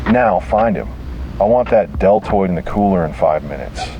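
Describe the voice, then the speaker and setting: Man acting refined older male villain, smooth, cold, commanding, horror tale, noise behind. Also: deep voice, animated, moderate pace, warm tone, muffled recording. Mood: impatient. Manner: cultured, commanding. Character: refined, cold, impatient older villain collecting human specimens.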